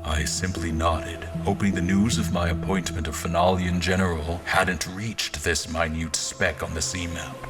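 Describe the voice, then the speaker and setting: An actor playing a wry royal officer, giving measured, literary first-person narration of his journey in an audio drama. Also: sits low, steady tone, natural voice. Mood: wary. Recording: music under the narration.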